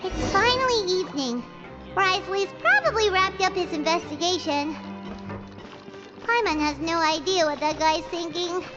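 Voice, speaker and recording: Game sidekick: tiny excitable childlike fairy, very high-pitched, slightly dull audio with loud music behind. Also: female voice, moderate pace. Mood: matter-of-fact. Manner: speaks of herself in third person, exclamatory and indignant. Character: tiny childlike floating fairy companion, excitable, blunt, easily confused. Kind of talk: video game character voice acting.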